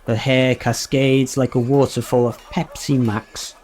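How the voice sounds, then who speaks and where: A man's voice: medium pitch, level tone, unhurried, darkish tone, natural voice. A man playing an earnest, muddled underling to a knight in a comic radio play, hesitant, literal and rambling. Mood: dreamy.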